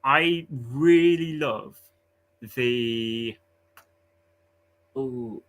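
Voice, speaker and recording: A man's voice: mid-range voice, extremely slow, fairly bright tone. A man calling in to a fan show, enthusiastic and thinking aloud as he talks. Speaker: caller. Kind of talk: casual fan chat.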